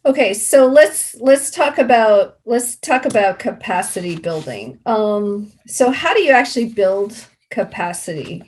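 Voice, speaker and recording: Woman, project representative on a discussion panel, unhurried delivery, mid-range voice, methodical and orderly explanations. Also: mid-range voice, big pitch swings, slightly rough. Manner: methodical, enumerates points step by step. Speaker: project representative answering questions.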